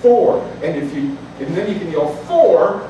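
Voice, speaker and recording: A man's voice: on the high side, lively intonation, measured pace, mellow, slight background noise. A man voicing an earnest young boy confidently explaining a game he barely knows. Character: eager young boy, seven or eight. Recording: roomy cafe, distant mic, chatter.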